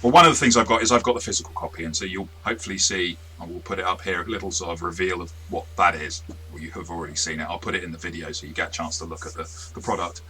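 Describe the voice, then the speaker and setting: A man with a low, forceful voice talks through a review casually as a game master. He explains in an informal, rambling way, over some background noise. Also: fairly even intonation, very fast, hardly any pauses, low-quality recording.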